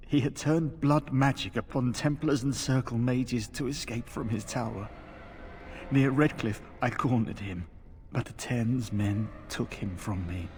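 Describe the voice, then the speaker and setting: An actor playing a delirious, guilt-ridden captive knight, speaking in halting, confused, pleading fragments. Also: male voice, medium pitch, a little mellow, natural voice.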